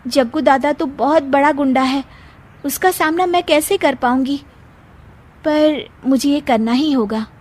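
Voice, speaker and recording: Woman acting a young daughter-in-law, raised high pitch, earnest, exclamatory, cartoon moral tale. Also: unhurried, a little mellow. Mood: worried. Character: worried but resourceful young village daughter-in-law.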